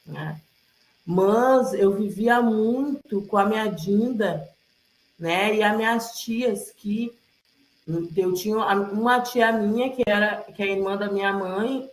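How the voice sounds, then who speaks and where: A woman speaks casually, like someone telling stories aloud. She goes slowly and haltingly, often checking in with listeners, in a roomy, echoey video-call sound. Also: mid-range voice. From Rio Grande do Sul, Brazil. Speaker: community elder and presenter at a cultural gathering. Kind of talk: casual oral storytelling. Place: online video call, roomy indoor space.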